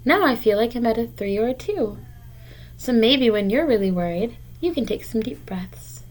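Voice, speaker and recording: A woman's voice: on the high side, lively intonation, normal speed. A woman teacher reading a picture book aloud to young children, explaining and encouraging them in simple, direct words.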